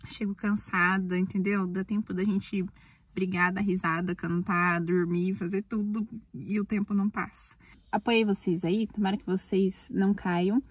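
A young Brazilian woman with a Brazilian accent, chatting casually to camera from a car on the road, rambling and chatty with bursts of excited talk. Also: mid-range voice, moderate pace, husky, dry recording. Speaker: vlogger. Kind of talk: casual vlog chat to camera.

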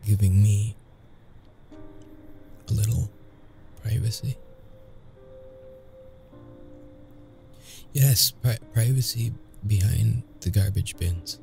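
A man's voice: fairly low pitch, creaky, mellow. A man playing a young wounded vampire, secretive and brooding, in an intimate, hesitant audio roleplay style. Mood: awkward, evasive. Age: young adult. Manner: halting, hesitant, stammering when flustered.

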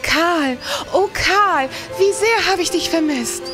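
An actress playing a loving, emotional wife in a radio play. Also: female voice, very high voice, slow pace, over music. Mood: overjoyed, tearful. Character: loving young wife greeting her returning husband. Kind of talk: German radio play acting.

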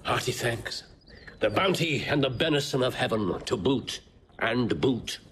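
A man's voice: mid-range voice, lively intonation, fairly bright tone, slight background noise. An actor playing a blind, broken old nobleman, grave and weary, in a Shakespearean audio drama. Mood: weary, grateful. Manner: grave, measured.

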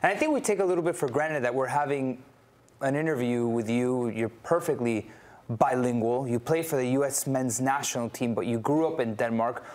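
A man hosting a studio football show, asking quick, friendly questions with a few restarts. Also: mid-range voice, a little mellow. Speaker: studio host.

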